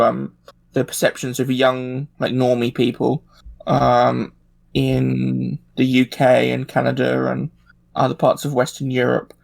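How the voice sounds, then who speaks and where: A man in a casual political discussion, talking in a halting way as he thinks aloud. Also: mid-range voice, gravelly.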